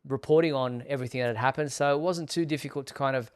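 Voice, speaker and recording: A man hosting a live show, talking casually and haltingly in short phrases with pauses. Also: medium pitch, smooth.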